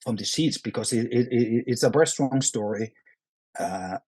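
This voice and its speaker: Husky Norwegian-accented man, halting speech. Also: mid-range voice, darkish tone, no room sound. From Norway. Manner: halting, many restarts and fillers. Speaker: actor, guest. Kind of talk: casual interview.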